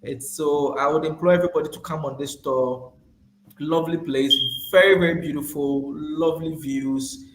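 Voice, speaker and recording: A man's voice: a little high, fairly even intonation, normal speed, echoey room, noisy background. A man with a foreign accent chatting eagerly about a trip, piling on fillers and restarts. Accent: foreign accent, not local to the island. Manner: gushing, many fillers and restarts. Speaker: guest describing a trip. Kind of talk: casual enthusiastic chat.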